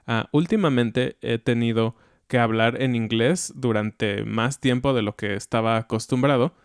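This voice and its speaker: A Mexican-accented man talks through ideas calmly and thoughtfully, like a teacher chatting on a podcast. His voice is smooth and clear, recorded close and dry with no room sound. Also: mid-range voice, unhurried, dry recording. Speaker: podcast co-host. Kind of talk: educational podcast chat.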